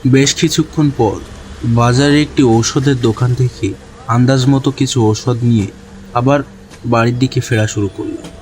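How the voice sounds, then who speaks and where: A man narrating a horror story in the first person with a deliberate, suspenseful, literary delivery. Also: mid-range voice, fairly even intonation, a little mellow, a little hiss or hum, poor audio. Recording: music behind the voice.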